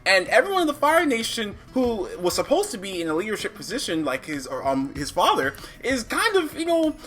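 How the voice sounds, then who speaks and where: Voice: medium pitch, very animated, brisk, a bit bright. A reviewer giving casual, opinionated commentary in a conversational way, thinking aloud with frequent asides. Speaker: comic book reviewer. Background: background music.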